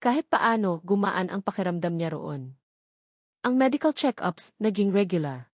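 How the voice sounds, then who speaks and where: A woman storyteller narrates a romantic audio drama in scripted, dramatic style. Her medium-pitched voice sits in a dry recording with no room sound. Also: animated, normal speed, a little mellow, no echo.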